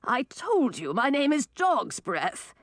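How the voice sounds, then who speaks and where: A woman voicing a frail old male beggar in a storybook, plaintive and falsely humble with drawn-out phrasing. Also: high-pitched, big pitch swings, no room sound, studio quality, voice pushed up, heavily acted. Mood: indignant protest. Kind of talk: storybook character voice.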